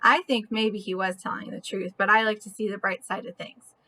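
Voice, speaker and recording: A woman's voice: mid-range voice, steady tone, a little fast, bright, forceful tone, a little hiss or hum. A woman speaking as a preschool teacher to young children during a read-aloud, putting questions to her listeners.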